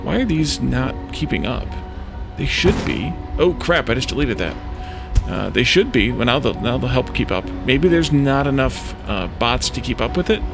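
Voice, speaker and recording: A man giving unscripted gameplay commentary as a let's play host, thinking aloud in a hesitant, halting way. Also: medium pitch, very animated. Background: clear background music.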